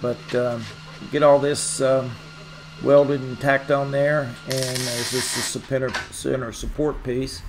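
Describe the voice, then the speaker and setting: A man's voice: medium pitch, little pitch movement, slow. Middle-aged man, hobby welder, easygoing rambling narration, camera mic off across a noisy workshop. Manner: rambling, many uhs and ums, plain-spoken. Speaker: hobby welder and channel host. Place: large open garage workshop. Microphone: camera mic at a distance, close selfie at start. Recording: workshop noise behind, distant mic.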